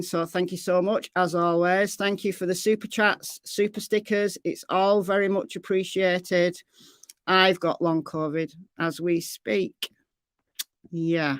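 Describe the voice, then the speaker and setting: Hoarse, rambling woman streamer. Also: fairly low pitch, dry recording, clean studio sound. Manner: rambling, chatty, trails off into fillers. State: slightly hoarse, losing her voice. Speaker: true crime livestream host. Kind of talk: casual livestream sign-off chat with viewers.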